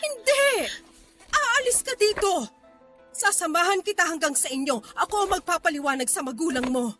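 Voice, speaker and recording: Older mom in a radio drama, high bright voice, scolding n begging, music behind. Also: female voice, animated, normal speed, heavily acted. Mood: firm, urgent. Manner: scolding, imploring, tearful emphatic delivery. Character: desperate, stern older mother-in-law pleading with young mother. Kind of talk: Tagalog radio drama acting.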